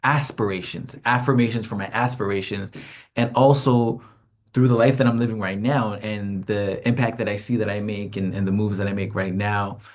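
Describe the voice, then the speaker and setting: A man's voice: fairly low pitch, fairly even intonation, moderate pace. A man, a hip hop artist, talking casually to camera in a rambling, slang-filled and self-reflective vlog, often laughing.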